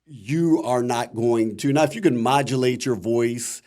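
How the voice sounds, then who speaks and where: A middle-aged man with a deep voice and a Midwestern American accent, chatting reflectively as a voice actor guest in an interview. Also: unhurried, mellow. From Akron, Ohio, USA. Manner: rambling, many "you know" fillers, reflective. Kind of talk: casual interview talk.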